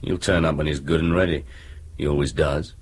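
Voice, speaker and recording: A man's voice: very deep voice, fairly even intonation, brisk, husky. An actor playing a dismissive, cynical British family man in a naturalistic radio drama.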